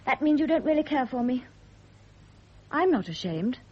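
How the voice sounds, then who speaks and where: An actress playing a girlish, impulsive young British mistress, besotted and jealous, with a breathy voice, in radio drama style. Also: high voice, very animated, moderate pace, heavily acted. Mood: hurt, reproachful. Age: young adult. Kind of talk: 1970s radio drama acting. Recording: muffled vintage radio drama recording.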